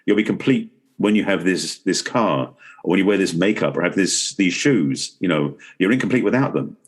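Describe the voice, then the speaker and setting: A man's voice: low-pitched, animated, normal speed, husky. A middle-aged man explaining things conversationally as an expert guest in an interview, often rephrasing as he goes. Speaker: podcast guest, relationship expert and author.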